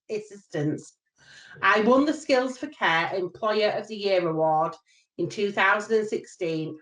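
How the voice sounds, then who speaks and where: A woman's voice: mid-range voice, far from the mic. A woman whose first language is British Sign Language speaks through a communication aid with a synthesized voice, reading a prepared statement on a panel call. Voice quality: even, synthetic delivery. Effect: synthesized speech from a communication aid. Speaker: panel participant. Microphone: video call. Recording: roomy echo.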